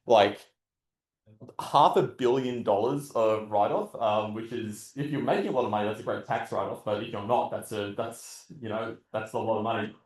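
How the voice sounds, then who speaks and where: Loud man talking in an echoey hall. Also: mid-range voice. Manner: loose and blunt, swears, many filler sounds. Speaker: conference speaker. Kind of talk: casual conference talk. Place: large conference hall. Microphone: podium microphone. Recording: roomy hall echo.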